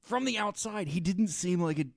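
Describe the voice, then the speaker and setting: A man's voice: high-pitched, normal speed, no echo, studio quality. A man co-hosting a podcast in casual banter, joking and rambling through hesitant asides. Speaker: podcast co-host.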